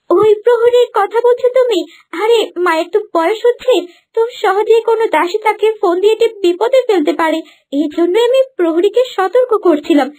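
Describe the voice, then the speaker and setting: A woman's voice: extremely high pitch, darkish tone. A woman voicing a haughty, deceitful young palace queen in a melodramatic cartoon. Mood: nervous, placating. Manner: imperious, stammers when cornered.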